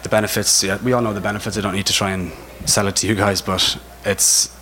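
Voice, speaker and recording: A man's voice: fairly low pitch, flat delivery, fast talker, sharp tone, rough recording. A man with an Irish accent speaking informally and enthusiastically as a retailer on a panel, repeating himself for emphasis. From Ireland. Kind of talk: informal spoken presentation. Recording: noticeable background noise.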